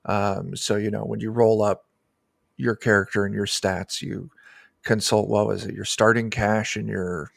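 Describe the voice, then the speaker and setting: A middle-aged man explaining things casually and haltingly as an interview guest, speaking into a desk microphone. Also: lowish voice, level tone, vocal fry, no echo, clean studio sound. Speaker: guest, tabletop game designer. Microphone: desk microphone on a video call.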